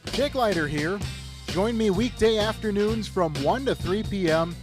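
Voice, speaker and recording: A man's voice: sits high, measured pace. A man with an American accent reading scripted radio promos and ads. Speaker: radio announcer. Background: music.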